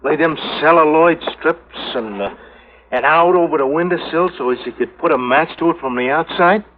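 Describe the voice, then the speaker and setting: An actor playing a jittery, evasive small-time crook in a 1950s radio drama, stammering in rough street grammar. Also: male voice, a little high, normal speed, natural voice. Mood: flustered, defensive. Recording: tinny, slightly noisy vintage radio recording.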